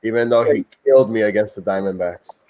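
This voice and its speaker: A man's voice: on the low side, fast. A man in his early thirties co-hosting a casual sports chat, laughing often and throwing "bro" into nearly every line. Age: 30s. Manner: animated, laughs often, says bro a lot. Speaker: podcast co-host.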